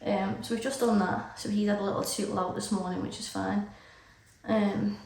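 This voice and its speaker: A woman's voice: medium pitch, flat delivery, normal speed, creaky, far from the mic. A British woman chatting casually to camera for a vlog, rambling with lots of fillers while she has a cold and sore throat. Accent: British English. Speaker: vlogger. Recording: echoey room, off-mic, loud background noise.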